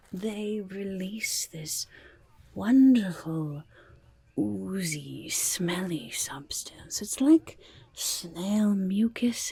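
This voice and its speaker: Woman as goblin stallkeeper, ASMR roleplay, low-pitched, crackly and breathy whisper, quirky, shifty, eager to sell, muttered asides. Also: a little mellow, heavily acted. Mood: gleeful sales pitch. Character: quirky shifty goblin merchant peddling grotesque wares, eager and defensive.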